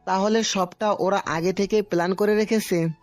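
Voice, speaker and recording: High-pitched angry commanding father played by a man. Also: steady tone, moderate pace, no room sound, faint music behind, lightly acted. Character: angry, commanding middle-aged father of runaway bride.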